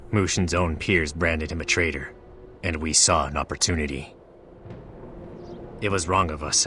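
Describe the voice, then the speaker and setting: Very deep, grave divine being. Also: male voice, sharp tone, a little hiss or hum. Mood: solemn regret. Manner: measured, formal, grave. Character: solemn, regretful male divine being, grave and formal. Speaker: game character voice actor. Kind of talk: scripted game dialogue.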